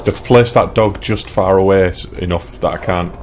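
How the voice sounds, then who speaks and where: A man's voice: low-pitched, moderate pace. A British man chatting casually while he plays a game, sweary and laddish. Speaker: let's play gamer. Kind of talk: casual chat while playing. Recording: faint game noise behind voice. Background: video game sound.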